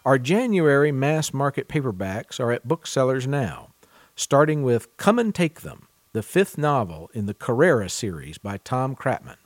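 A man's voice: medium pitch, big pitch swings, moderate pace. A man presenting a book podcast as its host, reading scripted segments and putting questions to a guest. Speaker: podcast host, contributing editor. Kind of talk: scripted podcast introduction and news reading, then interviewing.

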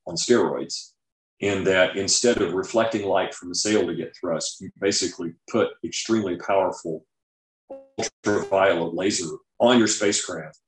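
A man's voice: low voice, moderate pace. A man speaking as an expert guest in a conversational interview, explaining things and often checking in with "right?". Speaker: guest author and scientist. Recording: roomy, echoing sound.

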